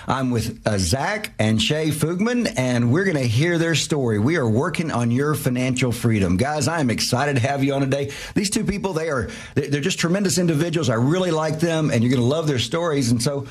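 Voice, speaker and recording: Husky radio host guy, excited, keeps restarting sentences. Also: medium pitch, fairly quick, few pauses. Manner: enthusiastic, repeats himself, stumbles. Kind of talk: radio interview.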